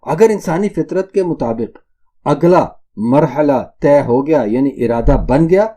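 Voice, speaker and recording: A man's voice: on the high side, expressive, dark tone. A man delivering an Islamic religious lecture in a didactic, emphatic way, repeating points for stress. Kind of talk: Islamic sermon lecture addressed to listeners.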